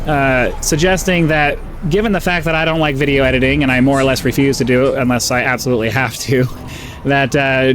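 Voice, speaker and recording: Easily distracted male gaming host, nasal, mid-pitched, chatty and unscripted; light game ambience behind. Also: slight background noise. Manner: rambling, easily distracted, frequent fillers. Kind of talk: rambling gameplay commentary.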